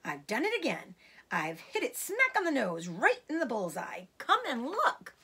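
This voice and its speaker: A woman's voice: on the high side, sing-song, moderate pace, fairly bright tone, slightly rough, full character voice. A woman voicing a cocky, gleeful, bossy male fox father in a storybook, with a showy, exclamatory delivery. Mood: triumphant.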